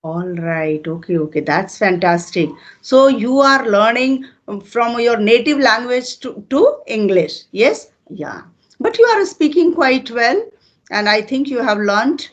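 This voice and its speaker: A woman's voice: on the high side, lively intonation. Older Indian woman, patient teacher. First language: Hindi. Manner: patient, teacherly, unhurried with fillers. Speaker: retired teacher and channel host. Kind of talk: casual interview conversation.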